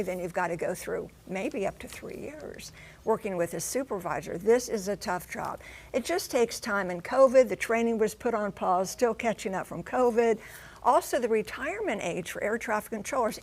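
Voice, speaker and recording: A woman's voice: medium pitch, moderate pace. A woman reporting as a TV correspondent in an emphatic, folksy manner with rhetorical questions. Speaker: TV transportation correspondent. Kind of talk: broadcast news report with live studio discussion.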